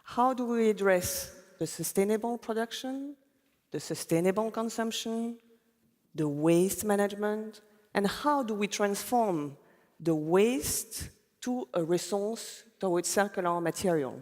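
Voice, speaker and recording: A woman policy official speaking on a conference panel, explaining in a measured way. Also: mid-range voice, a little mellow. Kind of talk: panel discussion on stage. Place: conference stage in a large hall. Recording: long hall reverb.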